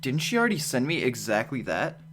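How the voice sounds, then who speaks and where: A man's voice: mid-range voice, big pitch swings, brisk, a bit bright. A man playing a puzzled, sardonic homebody in an amateur radio drama, with an informal manner and some noise on the recording. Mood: hesitant, casual.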